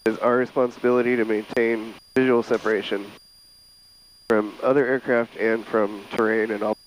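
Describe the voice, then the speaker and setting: A man's voice: fairly low pitch, little pitch movement, moderate pace, slight background noise, poor audio. A man speaking casually as a pilot, explaining flying to his passenger over a headset in a small plane's cockpit. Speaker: private pilot and vlogger. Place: inside a small airplane cockpit in flight.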